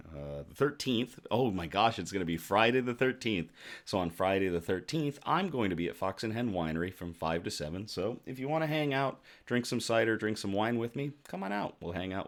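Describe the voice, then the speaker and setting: A man hosting a casual livestream, chatting to viewers in a jovial, effusive way, his voice slightly rough from shouting. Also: sits low. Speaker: host of a casual news and beer livestream.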